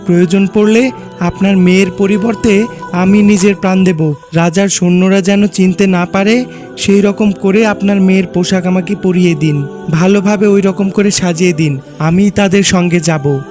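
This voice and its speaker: A man's voice: high voice, steady tone, moderate pace, a little mellow, soft background music, close to an everyday voice. A man voicing a brave, devout, selfless young man in a cartoon folk tale, earnest and resolute.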